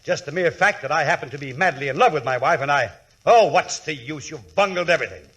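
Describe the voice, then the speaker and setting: An actor playing an imperious, indignant husband and customer in 1940s radio comedy, speaking in a pompous, precise way. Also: male voice, a little high, fast, fairly bright tone, husky, rough recording. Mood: aggrieved, confessional. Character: irate, imperious, lovestruck wealthy husband and customer. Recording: vintage 1940s radio broadcast.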